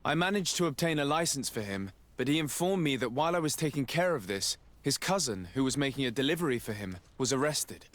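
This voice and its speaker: An actor playing a polite, resolute young nobleman diplomat in a video game, speaking in a formal, measured way. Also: mid-range voice, animated, a bit bright, natural voice. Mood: courteous, composed. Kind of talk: video game cutscene acting.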